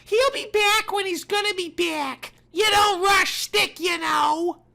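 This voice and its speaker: Shrill, brassy blonde secretary. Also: male voice, slow, gravelly, pushed and bright, full character voice. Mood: irritated, brassy. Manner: impatient, sassy. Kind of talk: audiobook character voice.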